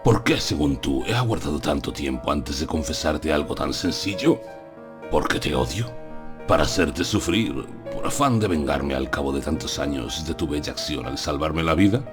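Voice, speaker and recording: A solemn older professor played by a man speaks persuasively in an extremely deep voice. It is a dramatized audiobook, with faint music underneath. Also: lively intonation, normal speed. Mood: pained, solemn. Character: solemn, persuasive older male professor confiding terrible truths.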